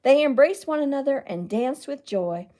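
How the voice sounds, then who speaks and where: High-voiced woman reading a story expressively. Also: lively intonation, moderate pace, warm tone. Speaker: children's librarian storyteller. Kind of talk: dramatic picture-book read-aloud.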